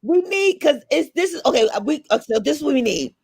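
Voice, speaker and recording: A woman co-hosting a casual chat, playful and excitable, slipping into put-on voices. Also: high voice, brisk, no room sound.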